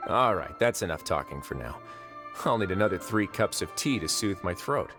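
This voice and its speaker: An actor playing a composed, wry duke who runs a fortress in a video game. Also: male voice, low voice, expressive, normal speed. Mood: measured. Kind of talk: scripted video game dialogue. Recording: long reverb, game music behind.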